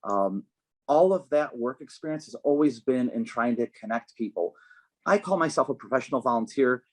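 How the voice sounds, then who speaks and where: A man running for office, answering interview questions in an earnest, measured way with frequent ums. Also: medium pitch, lively intonation, a little mellow. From United States, Chicago. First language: Polish. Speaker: political candidate, guest.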